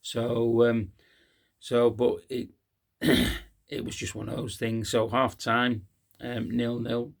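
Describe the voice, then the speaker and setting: A hoarse man, hosting a casual post-match fan talk, halting with many ums and uhs. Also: mid-range voice, monotone. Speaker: fan channel host.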